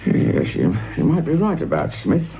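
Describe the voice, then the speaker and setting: Veteran British sergeant, male, near retirement. Sardonic, fatherly, very lively intonation; muffled, noisy radio-drama recording. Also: on the high side, normal speed, creaky, full character voice. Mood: grudging, probing. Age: older, near retirement. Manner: sardonic, world-weary, paternal to his junior. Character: weary, wry veteran police sergeant, near retirement, cynical. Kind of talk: naturalistic radio drama acting.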